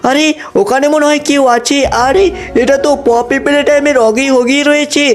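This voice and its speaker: A male gaming host gives excited story-style commentary as he plays. He speaks straight to the viewers throughout, in an exclamatory way. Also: extremely high pitch, normal speed, soft background music. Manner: addresses viewers constantly, exclamatory.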